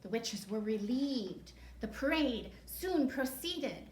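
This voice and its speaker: A woman reading a picture book aloud to children in an expressive, playful way, with gasps and asides. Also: a little high, takes it slowly. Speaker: library storytime reader. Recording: roomy echo, faint background noise.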